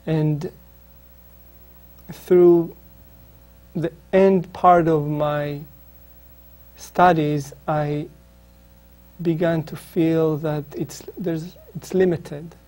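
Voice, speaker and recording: Hesitant Israeli man, dark and flat. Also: on the high side, very slow, pauses often. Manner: hesitant, many ums and restarts. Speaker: author, interview guest. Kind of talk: reflective interview answers.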